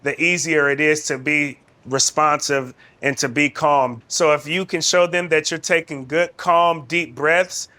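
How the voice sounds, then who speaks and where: A man's voice: a little high, fairly even intonation, unhurried, hardly any pauses, pushed and bright. A man giving instructional narration as a de-escalation trainer, explaining procedure step by step.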